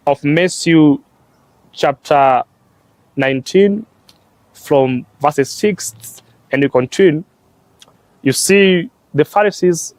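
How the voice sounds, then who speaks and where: Young Tanzanian-born religious brother, unhurried and hesitant, slightly high voice, recorded dry and close. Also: male voice, a little mellow, no room sound. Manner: slow, halting delivery in short phrases with frequent "uh". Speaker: religious brother and law student. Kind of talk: explaining church teaching on a talk show.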